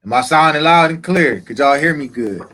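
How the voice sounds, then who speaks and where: A man's voice: a little high, animated, normal speed, bright, forceful tone. A middle-aged man co-hosting a casual podcast chat, laid-back and drawling, often repeating himself. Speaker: podcast co-host.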